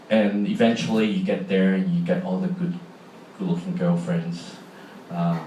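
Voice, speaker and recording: A man's voice: low-pitched, darkish tone, a little hiss or hum. A middle-aged man with a Hong Kong accent, giving a chatty public talk and asking the audience questions. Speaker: speaker. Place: large hall. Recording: echoey hall from far off, faint ambience.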